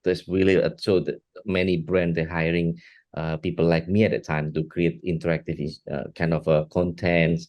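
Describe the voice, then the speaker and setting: Deep-voiced man, halting. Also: flat delivery, darkish tone. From Singapore. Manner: halting, frequent restarts and repeated words. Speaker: guest, art technologist and educator. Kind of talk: interview answers.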